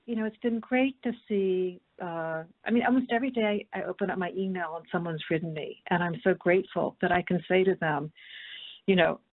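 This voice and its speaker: Woman filmmaker on a muffled phone conference, lowish voice, earnest and kinda halting. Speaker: documentary filmmaker. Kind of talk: unscripted panel discussion on a conference call. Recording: muffled narrow-band conference call line.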